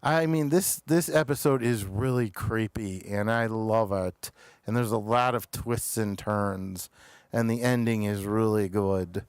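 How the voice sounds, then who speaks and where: A man hosts a casual review chat at an unhurried pace. His voice is smooth and clean, with very little room sound, and he often hesitates to find his words. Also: lowish voice, dry recording. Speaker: show host reviewing television episodes.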